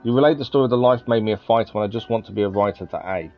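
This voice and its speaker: A man's voice: on the low side, racing. A man livestreaming a video game, reading out on-screen text and chatting with viewers. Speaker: live streamer. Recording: slightly dull sound, game music behind. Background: faint game music.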